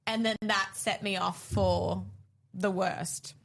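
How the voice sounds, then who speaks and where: A young woman co-hosting a podcast, chatting warmly with many filler words. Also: mid-range voice, steady tone, slow, vocal fry. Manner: gushing, leans heavily on "like". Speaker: podcast co-host. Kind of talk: casual chat.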